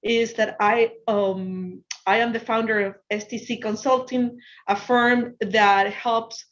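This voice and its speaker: A woman's voice: on the high side, steady tone, slow pace. A woman from Ecuador with an Ecuadorian Spanish accent, a native Spanish speaker, giving an encouraging motivational presentation to students as a guest speaker. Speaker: guest speaker, financial consultant. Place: online video presentation over Zoom. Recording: dull, lo-fi video call audio.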